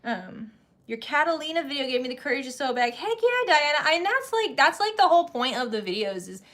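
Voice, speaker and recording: Chatty woman host, slightly high voice. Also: sing-song, fairly quick, fairly bright tone. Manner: chatty, laughs often, many restarts. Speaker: sewing channel host. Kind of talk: casual livestream chat.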